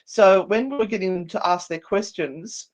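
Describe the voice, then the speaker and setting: A woman's voice: mid-range voice, moderate pace, darkish tone. An Australian woman co-hosting a live show in casual, easily sidetracked, giggly banter. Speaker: co-host of a paranormal live show.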